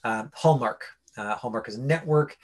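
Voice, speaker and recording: A man's voice: medium pitch, moderate pace, slight background noise. A man teaching as an acting coach, informal and rambling, often checking in with his listeners as he explains and directs. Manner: rambling, checks understanding with "right?".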